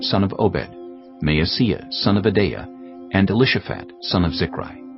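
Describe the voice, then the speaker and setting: A man narrating scripture at a measured, solemn pace. His voice is very deep yet moves lively through its range, and the sound is slightly dull with soft music underneath.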